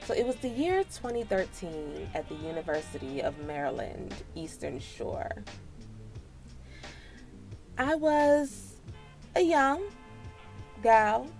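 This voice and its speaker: A woman in her late twenties with an American accent tells a personal story casually, in an animated, sassy, slangy way. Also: mid-range voice, takes it slowly, pauses often, faint music behind. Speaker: storytime vlogger.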